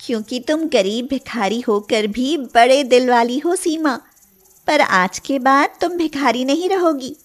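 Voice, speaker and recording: A woman voicing a tiny, playful, kind magical genie girl in an animated fairy tale, with a reassuring manner. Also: high-pitched, a little slow, voice pushed up. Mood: kindly.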